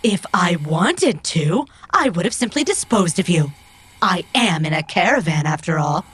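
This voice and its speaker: A woman playing a proud, loyal robot companion in a cyberpunk audio drama, speaking in formal, precise, measured phrases with a husky voice. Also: lowish voice, very animated. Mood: measured, offended.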